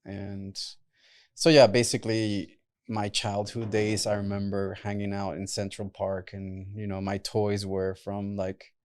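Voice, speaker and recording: A man's voice: fairly low pitch, monotone, a little slow, a little mellow, clean tone. A young man from Mexico and the US, whose first language is Spanish, chatting loosely as a podcast guest, full of "like" and "right?" fillers. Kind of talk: casual interview talk.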